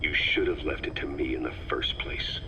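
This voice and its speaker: An actor playing a stern, suspicious American military intelligence officer in a video game drama, clipped and commanding, on a tape recording. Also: male voice, very deep voice, moderate pace. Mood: stern, accusatory. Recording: slightly muffled, noisy cassette tape recording.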